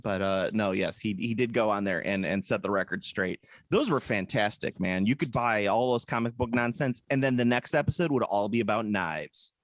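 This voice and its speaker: A man's voice: on the low side, normal speed, hardly any pauses, no room sound. Rambling man on a dry podcast mic. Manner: rambling and hesitant, many fillers, jokey. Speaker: podcast co-host. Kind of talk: casual chat. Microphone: podcast microphone.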